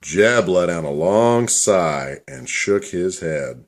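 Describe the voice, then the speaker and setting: A man's voice: low-pitched, expressive, takes it slowly. A man reading scripted storybook narration for a puppet show.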